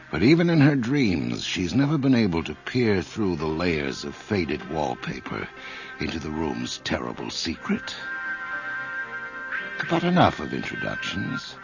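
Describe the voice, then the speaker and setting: Sinister male horror-radio host: extremely low, creaking voice, ominous theatrical swings, brooding. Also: full character voice. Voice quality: gravelly. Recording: dull old radio recording, loud music.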